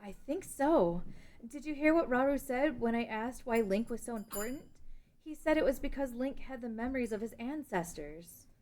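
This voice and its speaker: A woman's voice: a little high, expressive, normal speed, a little mellow, slight background noise, natural voice. A woman playing an earnest, conflicted young princess in a fantasy story table read. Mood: wondering.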